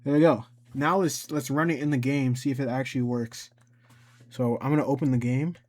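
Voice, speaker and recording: A man giving a casual, informal software tutorial, talking himself through each step as he goes. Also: mid-range voice, steady tone, brisk. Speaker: tutorial host.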